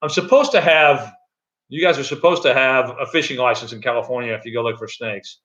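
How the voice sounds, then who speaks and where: A middle-aged man with a loud voice, chatting loosely on a live stream from an echoey room at home, with many fillers and restarts. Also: medium pitch, brisk, dull audio. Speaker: channel host. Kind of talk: casual live-stream chat.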